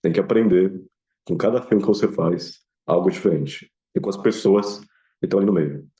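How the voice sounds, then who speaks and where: A man's voice: low-pitched, normal speed, mellow. A young man with a Brazilian accent giving earnest, informal advice in an interview, repeating phrases for emphasis. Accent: Brazilian Portuguese. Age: young adult. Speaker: student filmmaker interviewee. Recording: slightly dull, lo-fi sound.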